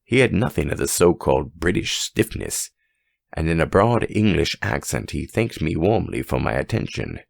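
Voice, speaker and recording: A man voicing a dignified, rational judge telling a story in a grave, measured and formal manner for an audiobook. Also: deep voice, very animated, vocal fry, no echo, studio quality, full character voice. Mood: intrigued, measured. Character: dignified, weighty, rational male French judge.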